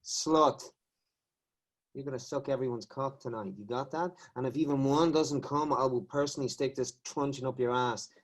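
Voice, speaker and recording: A man's voice: medium pitch, moderate pace, lightly acted. An actor playing a brutal, foul-mouthed riot policeman who sneers and barks orders. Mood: cruel taunting. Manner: crude, sneering, barking orders. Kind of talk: satirical audio drama.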